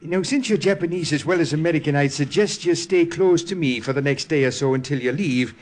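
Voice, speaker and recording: An actor playing a genial, teasing Irish army priest with a brogue and rambling blarney, in old-time radio drama style. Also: male voice, a little high, fairly quick, natural voice. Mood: genial, businesslike. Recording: noisy vintage radio recording.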